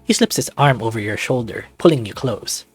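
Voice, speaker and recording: A man's voice: mid-range voice, lively intonation, fairly quick, husky, soft background music. A man narrating a scripted second-person story as a visual novel reader.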